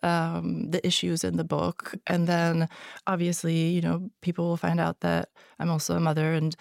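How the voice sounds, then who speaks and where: A woman writer, a native Hungarian speaker who grew up in Sweden, speaks in a low, gravelly voice. She talks haltingly, pausing often and restarting her sentences. The sound is dry and close. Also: completely flat delivery, a little mellow, dry recording. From born in Hungary, raised in Sweden. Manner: hesitant, many fillers and restarts. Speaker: author guest. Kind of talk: podcast interview.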